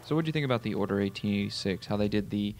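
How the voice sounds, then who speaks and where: A man's voice: fairly low pitch, racing. A man hosting a casual gaming discussion and putting questions to a guest, with background noise in the recording. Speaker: host asking questions.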